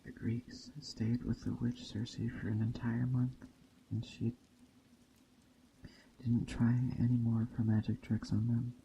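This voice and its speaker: A man softly reading a story in a hushed, gentle ASMR storytelling style. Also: medium pitch, completely flat delivery, measured pace, gravelly, a little hiss or hum, poor audio. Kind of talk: soft-spoken ASMR reading of a Greek myth tale. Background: soft nature ambience.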